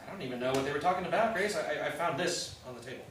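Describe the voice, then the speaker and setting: A man's voice: on the high side, a bit bright, close to an everyday voice. Hesitant concerned brother played by a man, distant echoey sound. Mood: uneasy. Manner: halting, conversational. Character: concerned, hesitant adult sibling, gently prodding confidant. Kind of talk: staged play reading. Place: live staged reading in an echoey room. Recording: very echoey room, distant mic, faint noise.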